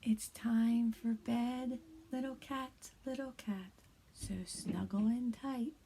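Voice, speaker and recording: A woman in her thirties, a kindergarten teacher, reading a bedtime picture book aloud to young children in a soft, hushed voice that often drops to a whisper. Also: on the high side, steady tone, slow, slight background noise. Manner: gentle and encouraging to young children.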